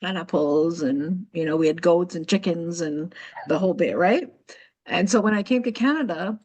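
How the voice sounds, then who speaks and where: A woman's voice: on the low side, moderate pace, a little mellow. A woman who grew up in Jamaica, chatting casually on a video call and telling a personal story with frequent fillers. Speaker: participant asking a question. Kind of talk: casual online meeting chat.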